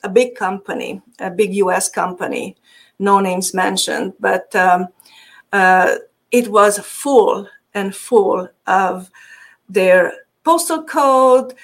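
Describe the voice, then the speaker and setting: A woman's voice: mid-range voice, takes it slowly. Hungarian-accented woman, chatty and laughing. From Transylvania. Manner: laughs often, many fillers and restarts. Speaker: marketing agency guest. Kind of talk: casual interview chat.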